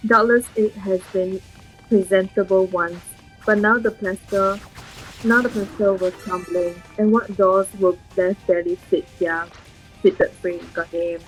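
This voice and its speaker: Teasing woman co-streamer, mid pitch. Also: steady tone, measured pace, soft background music, poor audio. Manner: teasing, stumbles while reading aloud. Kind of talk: casual chat and reading a story aloud.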